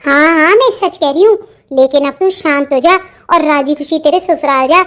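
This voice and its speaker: An extremely high voice from a woman plays a young sister-in-law and confidante. She soothes and coaxes with a scheming streak, in the heightened style of a cartoon family drama. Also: moderate pace, fairly bright tone. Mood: conspiratorial. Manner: coaxing, placating. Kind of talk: melodramatic Hindi cartoon dialogue.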